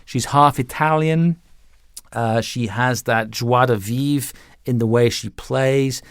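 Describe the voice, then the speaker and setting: A middle-aged or older man speaking conversationally as an interview guest, explaining things in an animated, anecdotal way with self-interruptions. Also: mid-range voice, slow, clear, resonant tone, no echo, clean studio sound. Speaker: interview guest, music director and violin teacher.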